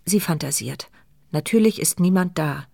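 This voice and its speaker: An anxious, gravelly inner monologue. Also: female voice, on the low side, normal speed, a little mellow. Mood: brooding. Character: mute paralysed woman, anxious inner voice. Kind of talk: inner monologue in a radio play. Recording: radio play with music behind.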